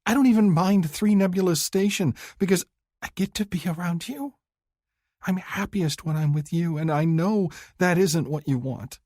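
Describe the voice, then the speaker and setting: Male narrator doing a tearful young woman pleading her love, high and breathy, in a dry close recording. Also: normal speed, a little mellow, no room sound. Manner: high, breathy, weeping delivery.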